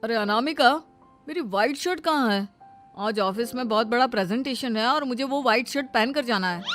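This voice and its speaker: A woman's voice: fairly high pitch, a little fast, fairly bright tone, faint music behind, close to an everyday voice. A woman voicing a young office-going husband in a cartoon moral story, with a pleading, easily exasperated manner. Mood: upset, accusing.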